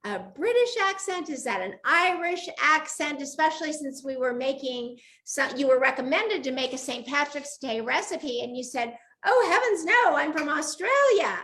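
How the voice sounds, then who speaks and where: A woman's voice: sits high, animated, moderate pace, hardly any pauses, a bit bright. An older woman hosting a chatty livestream interview, effusive and welcoming with her guest. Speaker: live show host. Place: video call livestream.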